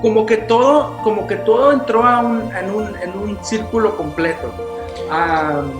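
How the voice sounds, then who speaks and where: A man's voice: high voice, a little slow, echoey room, music in the background. A man, a youth pastor from Chicago whose first language is Spanish, talking in a casual interview with many restarts and English fillers. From Chicago, United States. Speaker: youth pastor and podcast guest.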